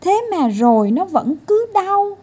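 A woman's voice: extremely high pitch, sing-song, unhurried, warm tone, voice pushed up, exaggerated voice. A woman voicing a whiny, stubborn little boy in a children's storybook, yelping and complaining about pain.

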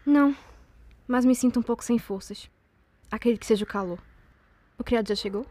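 Woman playing a high-voiced, imperious young Brazilian heiress. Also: mellow, no echo, lightly acted. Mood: languid. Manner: cold, measured, imperious. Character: rich young woman of eighteen, cold, shrewd, commanding. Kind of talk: audio drama acting of a period novel.

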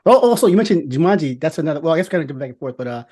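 A man's voice: fairly high pitch, expressive, racing, darkish tone. A man chatting casually as a podcast host, with a rambling, repetitive, slangy way of talking. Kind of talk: casual film chat.

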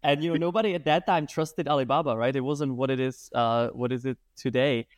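A man, a guest telling his life story in animated talk full of hesitations. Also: medium pitch, brisk, no room sound, clean studio sound. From Czech Republic. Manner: animated, hesitant with many fillers. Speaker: guest, breathwork and movement teacher. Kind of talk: casual storytelling interview.

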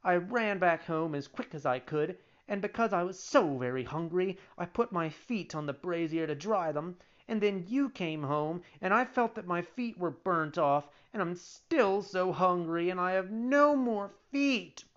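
Storybook puppet boy played by an adult reader: raised pitch, petulant and whiny, childish breathless pleading, slightly dull recording. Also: lively intonation, measured pace, hardly any pauses, lightly acted. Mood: whining, self-pitying.

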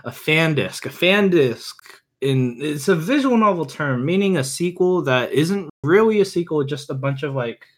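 Earnest, halting man on a podcast. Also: on the high side, expressive. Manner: earnest, opinionated, halting as he searches for words. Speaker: podcast guest, visual novel enthusiast. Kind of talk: casual podcast chat.

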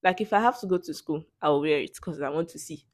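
A woman's voice: sits low, quick pace, no room sound, clean studio sound. A young woman with a Nigerian English accent, host of a casual solo podcast, answering questions in a playful, sarcastic way. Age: young adult. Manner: sarcastic, playful, laughs often.

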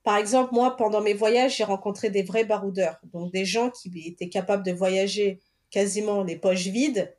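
A woman co-host in casual conversation, calmly explaining travel habits with examples. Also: medium pitch, moderate pace. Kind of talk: casual podcast chat.

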